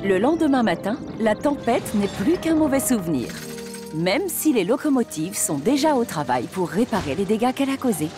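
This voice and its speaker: A woman's voice: medium pitch, sing-song, normal speed, over music. A woman narrating a scripted children's story as its storyteller.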